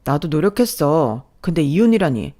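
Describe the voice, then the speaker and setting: A tired young husband, voiced by a woman reading a story aloud, caught between wife and mother. He speaks a bit lower and softer, defensive and soothing. He never raises his voice much. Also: sing-song, normal speed. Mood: placating. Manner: defensive, placating and low-key. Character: evasive, weary young husband caught in the middle. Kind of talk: storytelling with acted dialogue.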